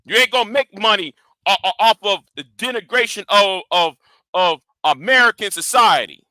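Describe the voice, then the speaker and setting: A man's voice: sits high, lively intonation, normal speed, bright, forceful tone, no echo. A man ranting in a commentary vlog. He talks confrontationally and swears a lot, repeats and restarts his words, and challenges his audience directly. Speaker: YouTube commentator.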